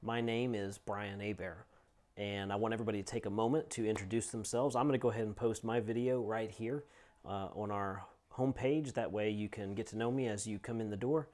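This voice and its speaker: A man's voice: fairly low pitch, steady tone, brisk, a little mellow. A middle-aged man, a teacher and pastor, introducing himself to students in an informal, conversational, explanatory way. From United States and Scotland. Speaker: seminary teacher and missions pastor. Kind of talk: casual course introduction to students.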